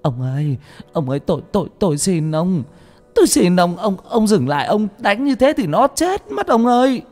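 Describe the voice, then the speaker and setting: A man's voice: sits high, big pitch swings, normal speed, a little mellow, faint music behind, pitched above the performer's own voice. A man voicing a desperate middle-aged mother who pleads and stammers. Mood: pleading.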